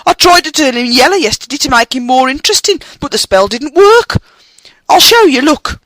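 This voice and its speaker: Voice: high voice, expressive, normal speed, a bit bright, dry recording, voice pushed up. A reader voicing a blunt, offhand young wizard boy in a storybook reading.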